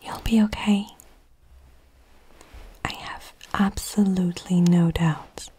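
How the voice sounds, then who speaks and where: A woman whispering softly and tenderly in a breathy voice, in a reassuring ASMR comfort roleplay, close to the microphone. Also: on the low side, fairly even intonation, takes it slowly, poor audio.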